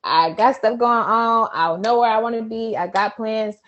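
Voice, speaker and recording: A woman's voice: on the high side, normal speed, dull audio. Laid-back young woman guest. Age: 20s. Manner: laid-back, laughs often, thinks out loud. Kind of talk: casual chat.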